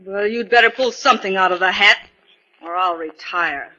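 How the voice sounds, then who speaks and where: A woman's voice: medium pitch, moderate pace, sharp tone. A woman playing a jaded fake psychic medium and con artist in 1940s radio style. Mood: fed up. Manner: world-weary, sardonic. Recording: dull old 1940s radio recording.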